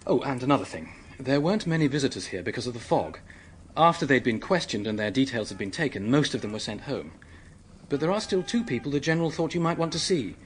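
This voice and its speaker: An actor playing an earnest, polite young British secretary who is anxious and hesitant, in a radio drama. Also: male voice, mid-range voice, very animated, fast, slight background noise, lightly acted. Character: earnest, flustered young English male secretary, helpful and anxious.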